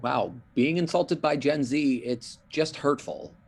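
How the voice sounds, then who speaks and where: A woman playing a blunt, snarky sibling with a husky voice in a comedy table read. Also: a bass voice, measured pace, darkish tone, slight background noise, full character voice. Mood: wounded, teasing. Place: remote video call read.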